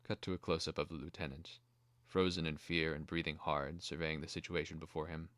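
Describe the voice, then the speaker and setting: Very deep male dramatic narrator. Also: little pitch movement, fairly quick, a bit bright, dry recording. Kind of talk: scripted narration of a screenplay.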